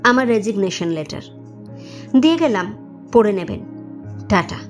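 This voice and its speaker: A woman's voice: medium pitch, big pitch swings, moderate pace, lightly acted. A woman voicing a spirited young wife in an audio story, bold and mocking, with music behind her. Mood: defiant. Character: spirited young wife, newly defiant, done with meekness.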